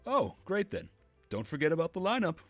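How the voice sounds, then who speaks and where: Guy doing a chirpy friendly teen camp girl from a visual novel, pitched way up, breezy. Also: huge pitch swings, brisk, a bit bright, dry recording, faint music behind, full character voice. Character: perky, friendly teenage girl at summer camp.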